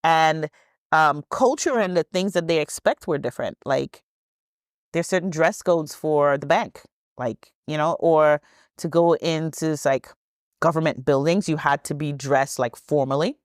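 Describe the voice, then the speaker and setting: A woman's voice: low voice, a little slow, no room sound, studio quality. A woman in her thirties from Trinidad, a podcast guest who tells stories in a chatty way and laughs often. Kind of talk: podcast interview storytelling.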